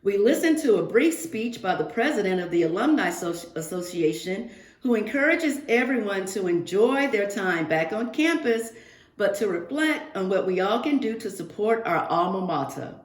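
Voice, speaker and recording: A woman reads a children's book to a young class in an expressive, teacherly way, turning to the listeners with asides and questions. Her voice sits at an average pitch for a woman. The sound is roomy and echoing, as in a remote reading from indoors. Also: moderate pace. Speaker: guest reader for a second-grade class. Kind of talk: read-aloud of a children's picture book with asides to pupils.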